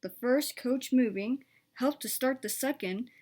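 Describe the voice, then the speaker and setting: Higher-voiced woman narrating a storybook. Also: a little slow, darkish tone. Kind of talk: scripted children's story narration.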